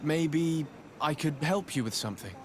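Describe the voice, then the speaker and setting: Man playing a polite, helpful noble adventurer, higher-voiced. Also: expressive, moderate pace, noisy background, natural voice. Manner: polite, crisp, formal. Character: courteous, helpful male noble envoy, confident adventurer. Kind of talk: video game cutscene acting.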